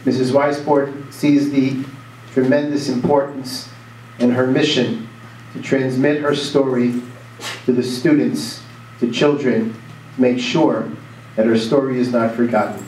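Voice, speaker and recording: A man formally introducing a guest speaker at a school program, measured and even. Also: medium pitch. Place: school auditorium. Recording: echoey hall, distant mic, faint noise.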